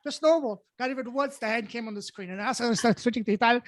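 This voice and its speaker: A man in his forties, French his first language, hosting a podcast with enthusiastic, deliberate questions into a close studio mic. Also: very high voice, very noisy. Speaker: podcast host. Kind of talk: casual interview chat. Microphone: large studio mic close up.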